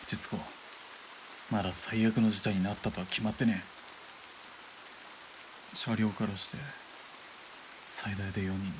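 A man acting a wry, level-headed young army spotter in a Japanese audio drama, rough-spoken and quick to retort. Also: on the low side, level tone, noisy background, natural voice. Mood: wry, composed.

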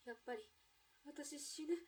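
A woman's voice: high-pitched, steady tone, moderate pace, darkish tone, natural voice. An actress playing a despairing young woman grieving her lover in a melodramatic Japanese radio drama. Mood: anguished, defiant. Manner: anguished, pleading, emotionally overwrought. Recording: distant from the mic.

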